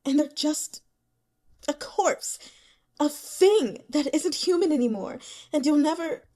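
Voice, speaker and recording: A woman reader plays a distraught young female student in an audiobook. The voice is high and anguished, pressing hard on each phrase in fear of loss. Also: slow pace, mellow. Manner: raised, anguished, emphatic.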